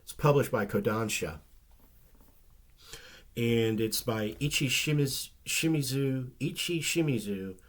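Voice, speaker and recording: A man chatting casually about comic books, his voice a little rough and nasal from a recent flu, rambling with many ums and restarts. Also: mid-range voice, takes it slowly. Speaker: comic book vlogger. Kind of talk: casual chat.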